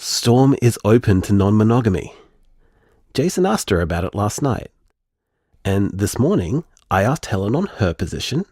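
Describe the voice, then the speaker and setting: A man acting an excitable, anxious young matchmaker leaving chatty, dramatic voicemails in an audio drama. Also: medium pitch, slightly rough, no room sound, studio quality, exaggerated voice. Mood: upbeat.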